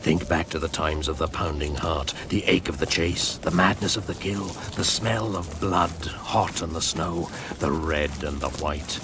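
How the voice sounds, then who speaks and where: An actor playing an ancient pagan winter spirit, a brooding, commanding shaman, in a slow, incantatory 1980s radio drama monologue on an old broadcast recording. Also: rumbling low, noisy background.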